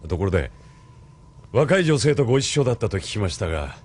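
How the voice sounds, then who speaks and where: An actor in a Japanese audio drama playing a cool, formally polite military colonel who speaks in a measured, probing way. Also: male voice, on the low side, sing-song. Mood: composed, formal.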